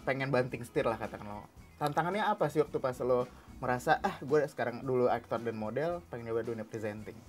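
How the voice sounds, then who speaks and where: A man's voice: mid-range voice, expressive, quick pace, faint music behind. A man hosting a casual interview, upbeat and slangy, laughing often and calling his guest "bro". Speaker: host.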